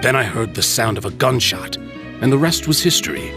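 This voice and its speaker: Genial middle-aged uncle, courteous, theatrical, expressive rise and fall, medium-pitched, video game cutscene. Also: male voice, normal speed, vocal fry, music in the background. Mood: apologetic, earnest.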